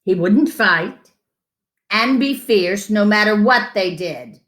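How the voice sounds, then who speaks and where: Slow, animated woman reading a children's story. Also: mid-range voice. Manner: animated, dramatic emphasis with asides to listeners. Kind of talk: expressive children's story read-aloud.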